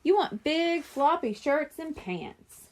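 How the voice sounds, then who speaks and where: A woman's voice: high voice, big pitch swings, slow pace, pitched above the performer's own voice, full character voice. A woman voicing a confident, slightly bossy young girl in a children's audiobook. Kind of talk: children's audiobook character dialogue.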